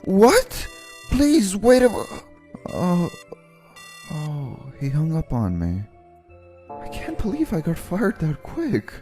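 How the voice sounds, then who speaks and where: A man's voice: fairly high pitch, takes it slowly, pauses often, soft background music, full character voice. A man voicing an exhausted, polite young office worker who gets flustered, in an earnest manga dub style. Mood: shocked, dismayed. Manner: earnest, weary, expressive. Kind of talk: anime-style manga dub narration and dialogue.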